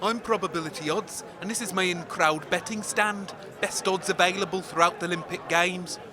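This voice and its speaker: A man playing a loud, shifty bookmaker at a wasteland games in a comedy sketch. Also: high voice, steady tone, moderate pace, close to an everyday voice. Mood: hyped. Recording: outdoor crowd noise.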